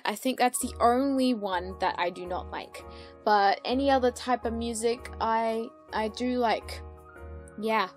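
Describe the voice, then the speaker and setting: A woman in a casual solo question-and-answer chat, speaking expressively with playful exasperation as a YouTuber. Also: medium pitch. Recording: background music behind the voice. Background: music.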